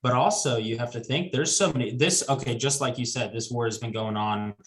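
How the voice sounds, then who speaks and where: A man's voice: medium pitch, fairly quick. A man in his twenties talking casually as a podcast guest, easygoing and rambling with restarts. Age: 20s. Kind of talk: casual conversation.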